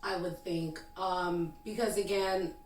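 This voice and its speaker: A woman's voice: on the low side, slow, slight background noise. Chatty Haitian-born woman, distant roomy sound. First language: Haitian Creole. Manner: chatty, laughs often, animated when storytelling. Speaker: guest. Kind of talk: conversational interview.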